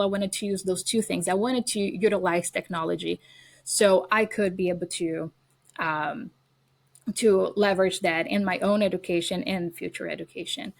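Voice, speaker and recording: A woman with a Brazilian accent, speaking English as her second language, talks about her background as an interview guest. Her voice sits at a mid pitch, and she tells her stories earnestly, pausing on fillers and restarting her sentences. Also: creaky. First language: Portuguese. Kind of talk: casual interview.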